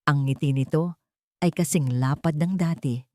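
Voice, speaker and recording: Woman, Filipino accent, lowish voice, audio drama narrator, scripted dramatic storytelling, dry recording. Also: normal speed, mellow, dry recording.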